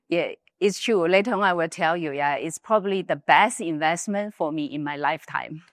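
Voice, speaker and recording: Middle aged chinese lady w chinese accent, low voice, talking thru her career, dry close sound. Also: moderate pace, no echo. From Wuhan, China. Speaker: interview guest, business executive. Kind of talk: recounting a career in conversation.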